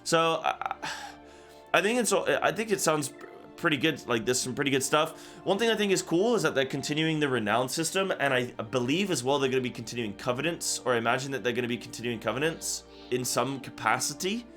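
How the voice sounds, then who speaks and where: A man's voice: medium pitch, expressive, fairly quick, fairly bright tone. Man rambling casually over background music. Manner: rambling and repetitive, talking off the cuff. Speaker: gaming content creator and host. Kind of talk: casual reaction commentary on a game announcement.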